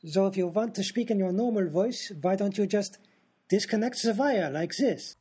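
A practical sidekick in a radio comedy, acted by a woman. The voice is medium in pitch and husky, with a plain-spoken, reasonable delivery. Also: normal speed, warm tone, lightly acted. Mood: matter-of-fact.

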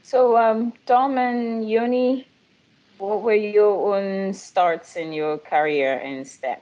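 A woman's voice: medium pitch, slow pace, warm tone, lo-fi sound. A woman from Nigeria, a production specialist, talking in a friendly way and asking the others questions on a video call. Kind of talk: casual video-call chat.

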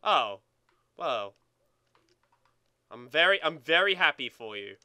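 A young man banters casually as a let's play co-host, playful and crude. His voice is slightly high and very bright, with lively swings in pitch. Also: slow pace, no echo, clean studio sound.

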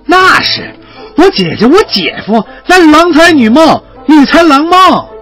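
An actor playing a cheeky, doting teenage student in an audio drama, boisterous and exaggerated in manner. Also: male voice, extremely high pitch, very animated, measured pace, a bit bright, soft background music, exaggerated voice. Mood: boisterous, indignant. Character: excitable, cheeky teenage boy student, doting younger brother.

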